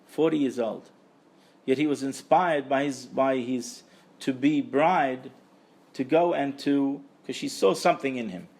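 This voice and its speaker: Man teaching Torah, gravelly creaky voice. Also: mid-range voice, measured pace, a little mellow. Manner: emphatic, repeats phrases for stress. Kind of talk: Torah lecture to a live group.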